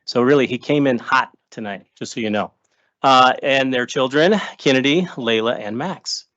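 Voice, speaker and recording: A man's voice: medium pitch, moderate pace, lo-fi sound. Joking man at a board meeting mic. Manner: joking asides, chatty and relaxed. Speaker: school district administrator introducing new hires. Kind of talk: informal remarks at a public board meeting. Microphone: table microphone.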